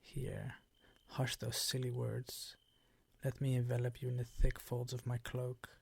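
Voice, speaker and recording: Man playing a slow, gravelly vampire count. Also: mid-range voice, monotone, slight background noise. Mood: condescending, insistent. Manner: slow, ornate, condescending seductive purr. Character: suave, menacing older male vampire count, possessive and hungry. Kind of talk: ASMR roleplay monologue.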